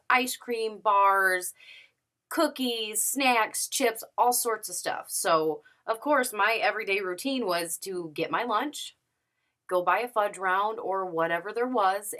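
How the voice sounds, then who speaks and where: A woman's voice: mid-range voice, sharp tone. A young adult woman vlogger telling a candid personal story to camera, chatty and full of fillers. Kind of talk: casual story-time monologue. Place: at home, sitting.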